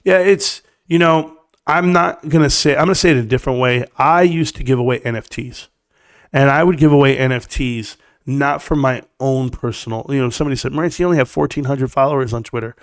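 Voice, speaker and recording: A man's voice: mid-range voice, brisk, no room sound, clean studio sound. Blunt man with a scratchy voice. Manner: blunt, emphatic, talks straight to the chat. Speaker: stock market live streamer. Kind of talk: opinionated casual livestream rant.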